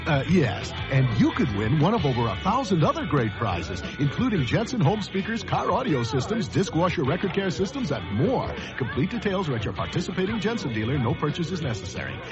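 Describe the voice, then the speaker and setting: A man presenting a scripted radio commercial as an animated salesman. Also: a little high, brisk, hardly any pauses. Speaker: commercial pitchman. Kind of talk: scripted radio ad with mock interviews. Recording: dull radio ad with music.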